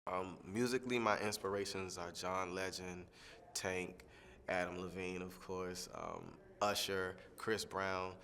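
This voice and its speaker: A young man, a singing contestant, answering interview questions in a relaxed, rambling way with lots of fillers. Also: deep voice, unhurried, in a large hall, slight background noise. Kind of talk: press junket soundbite interview.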